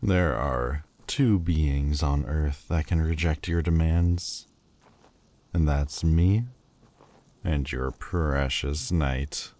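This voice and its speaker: A man's voice: very deep voice, drawn-out words, a little mellow, full character voice. A man voicing a proud, bitter demon lord who speaks in a cold, cutting, haughty way and hides a lovesick heart. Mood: mocking. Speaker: voice actor. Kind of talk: audio roleplay acting.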